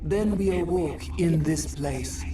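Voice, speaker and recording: A woman voicing an ancient, mournful alien insect queen in a video game, with stately, halting, poetic phrasing and a breathy, whispery voice. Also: low-pitched, distant mic, background noise. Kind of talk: video game character acting.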